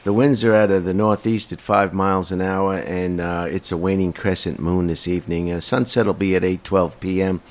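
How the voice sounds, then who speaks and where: A man with a fairly low voice hosts a loose, casual podcast conversation. He rambles and trips over his words, circling back before finishing a thought. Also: fairly even intonation, normal speed, few pauses. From Long Island, New York. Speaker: podcast host.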